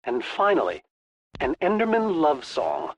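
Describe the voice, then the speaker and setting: Pompous, lively eccentric scholar. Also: male voice, fairly high pitch, highs cut off, full character voice. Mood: pompous fascination. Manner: pompous, wordy, halting, theatrical. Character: eccentric lonely man, a nervous scholarly architect obsessed with monsters. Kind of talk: video game character acting, theatrical monologue.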